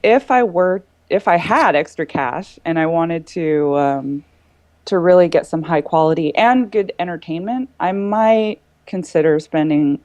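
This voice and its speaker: A woman's voice: lowish voice, a little slow, a little mellow, no room sound. A woman in a casual group conversation, explaining things earnestly as a panelist.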